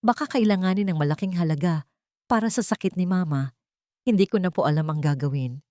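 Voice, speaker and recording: A woman's voice: on the low side, fairly quick, mellow, no echo, clean studio sound, natural voice. Woman voicing a scheming, mournful young daughter-in-law. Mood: pleading, falsely grieving. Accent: Filipino. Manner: sighing, pleading, weighty words.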